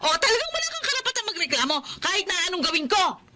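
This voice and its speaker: An actress playing a domineering, hot-tempered older sister-in-law who scolds haughtily in a Filipino radio drama. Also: female voice, very high voice, sing-song, racing, bright, forceful tone, exaggerated voice. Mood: scornful, angry.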